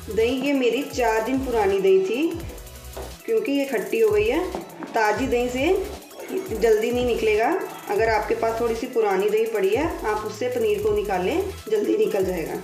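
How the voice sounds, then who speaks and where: A woman's voice: a little high, darkish tone. A woman hosting a cooking channel, giving patient step-by-step kitchen instructions and repeating each step. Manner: unhurried, repeats steps patiently. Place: home kitchen. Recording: roomy, distant sound with faint music.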